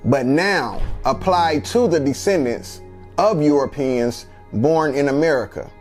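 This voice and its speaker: Slow, deliberate male explainer. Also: a little high, faint music behind.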